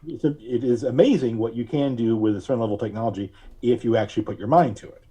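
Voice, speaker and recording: Mellow, halting man in casual interview. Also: mid-range voice, slightly rough. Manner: halting, thinking aloud. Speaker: podcast guest; audio drama writer.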